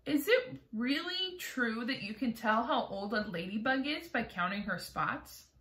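A woman's voice: on the high side, expressive, a little slow, a bit bright, voice pushed up. A woman voicing a curious, polite young boy who asks eager, earnest questions.

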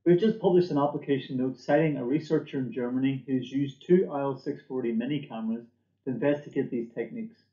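A man giving a scripted product presentation for a company, in a measured, formal manner, recorded at a desk in a small room. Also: mid-range voice, steady tone, mellow, echoey room, muffled recording. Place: small indoor office or lab room.

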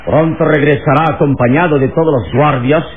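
An actor playing a calm, authoritative heroic leader in an old radio drama, speaking firmly and deliberately. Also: male voice, on the high side, natural voice. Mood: calm, resolute. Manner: firm, commanding, deliberate. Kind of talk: vintage radio drama acting. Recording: tinny, noisy old radio recording.

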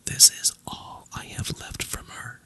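A storyteller voicing a smitten young prince in a bedtime fairy tale, earnest and pleading, in a breathy whisper. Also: measured pace, bright, forceful tone. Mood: wistful.

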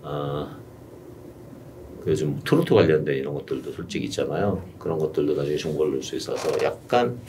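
Low dark-voiced man coaching. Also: a little fast. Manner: explanatory, steady, lists options patiently. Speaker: YouTube coach. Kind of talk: one-on-one consulting advice. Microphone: desk mic on stand, heard from a distance. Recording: distant mic with faint room noise.

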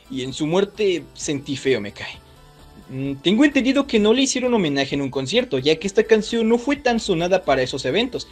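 A man hosting a video with casual scripted commentary, chatting directly to his viewers. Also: high-pitched. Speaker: YouTube host.

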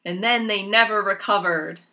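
Chatty young woman with a bright voice. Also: medium pitch, normal speed. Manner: chatty and rambling, with many ums. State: raspy from a lingering cough. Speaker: knitting podcast host. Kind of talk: casual chat to camera. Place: small room at home, indoors. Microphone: laptop camera mic. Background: rain outside.

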